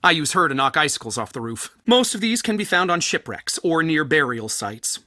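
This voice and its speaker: Eccentric bookish curator, high, animated voice. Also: male voice, brisk, no echo, exaggerated voice. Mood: gleeful, quirky. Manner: theatrical, wordy, wryly dramatic. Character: eccentric, bookish, anxious male culture center curator Soren. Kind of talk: video game voice acting.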